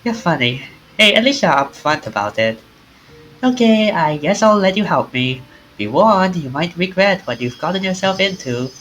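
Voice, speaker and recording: Man acting as young woman, game character, raised pitch, playful, eager, chatty, lively intonation. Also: normal speed, slight background noise, low-quality recording. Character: quirky, excitable young woman hunting occult legends.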